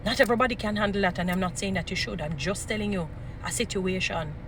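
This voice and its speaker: A woman's voice: mid-range voice, fast, background noise. A woman with a Jamaican accent and a strong voice, giving informal, emphatic advice in a preachy way, repeating short phrases for emphasis. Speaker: relationship advice speaker. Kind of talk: informal motivational advice talk.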